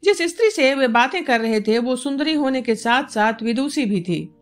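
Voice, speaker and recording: A woman reads a literary novel as an audiobook narrator, in measured, formal diction. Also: high voice, animated. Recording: music bed behind the voice. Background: instrumental music.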